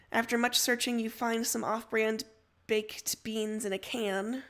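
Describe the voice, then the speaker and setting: A woman reading a story aloud as a narrator, telling it in the second person. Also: mid-range voice.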